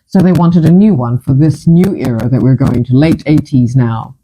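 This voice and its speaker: A woman's voice: very deep voice, normal speed, mellow, breathy edge, rough recording. A woman from Fiji in her fifties, hosting a casual chat and telling her stories in a chatty, dramatic, self-mocking way. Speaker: host.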